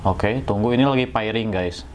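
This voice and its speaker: A man's voice: fairly low pitch, normal speed, slight background noise. A man with an Indonesian accent from West Java, giving a casual, slangy, jokey hands-on tutorial as a motovlogger. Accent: Sundanese-region Indonesian. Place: indoors at home.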